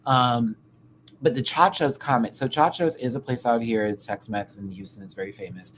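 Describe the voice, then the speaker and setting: A man's voice: medium pitch, normal speed, fairly bright tone. Candid American man chatting, noisy background. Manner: candid, chatty, uses slang and asides. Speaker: livestream host. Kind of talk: casual personal storytelling on a livestream.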